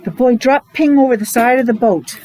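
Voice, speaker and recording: A woman reading a picture book aloud to young children, expressive, adding explanations and questions along the way. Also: a little high, brisk. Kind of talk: animated read-aloud storytelling with asides to young children.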